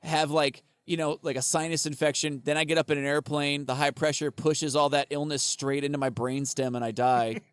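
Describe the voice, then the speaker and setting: This man has a fairly high voice and wanders through his stories in a loose, profane way, laughing often as he goes. He sounds dry and close to the mic. He is co-hosting a casual comedy podcast. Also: fairly even intonation, fairly quick, few pauses, clear, resonant tone, no room sound.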